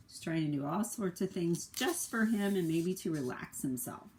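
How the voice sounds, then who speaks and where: A woman's voice: lowish voice, lively intonation, normal speed, darkish tone. A woman speaking as a school librarian, giving simple, casual explanations of a picture book for children. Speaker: school librarian reading aloud.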